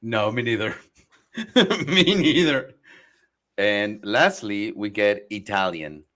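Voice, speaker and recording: A man's voice: on the low side, animated, slow pace, fairly bright tone. A man co-hosting a podcast in casual chat, loose and profane, laughing easily as he talks. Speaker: podcast co-host. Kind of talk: casual chat reacting to anime dubs.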